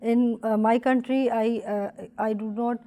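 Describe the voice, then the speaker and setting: A woman from Pakistan, a doctoral student, telling her experience in an interview with many pauses and fillers. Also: on the high side, steady tone, dark tone, faint music behind. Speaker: PhD student in journalism. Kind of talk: interview testimony.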